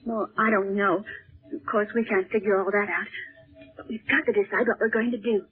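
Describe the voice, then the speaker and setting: An American actress playing an anxious but determined young sister, earnest and urgent, in a 1930s radio serial. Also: female voice, mid-range voice, steady tone, brisk, natural voice. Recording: muffled, narrow 1930s radio recording.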